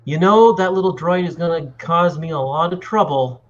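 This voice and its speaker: A man's voice: fairly high pitch, moderate pace, dull audio, natural voice. A man playing a frustrated, earnest young farm boy in an amateur table read of a film script. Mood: resigned.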